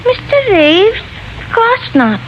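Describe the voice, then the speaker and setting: An outspoken, indignant household maid in vintage radio drama. Her high voice swings widely and emphatically, on a muffled old recording. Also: very animated, slow, full character voice. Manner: emphatic, colloquial. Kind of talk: 1950s radio drama acting. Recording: dull old radio recording, faint hiss.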